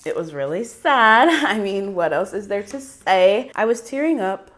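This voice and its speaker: A woman's voice: medium pitch, very animated, measured pace, a bit bright. A young American woman telling personal stories casually, in a chatty, rambling, slangy way. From New York City, United States. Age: young adult. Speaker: vlogger.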